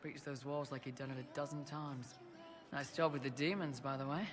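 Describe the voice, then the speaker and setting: A man's voice: mid-range voice, steady tone, a little fast, over music, natural voice. An actor playing a blunt, loyal male mercenary lieutenant in a fantasy video game cutscene. He talks in plain, no-nonsense soldier language.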